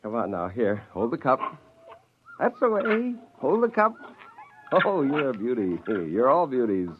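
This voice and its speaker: An American actor playing a middle-aged, gleefully eccentric scientist in a theatrical 1950s radio drama. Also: male voice, medium pitch, very animated, measured pace, noisy background. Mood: delighted, coaxing. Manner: theatrical, chuckling, self-amused. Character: eccentric, gleeful scientist plotting a joke on mankind. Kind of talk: 1950s radio drama acting with first-person narration. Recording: dull, lo-fi 1950s radio recording.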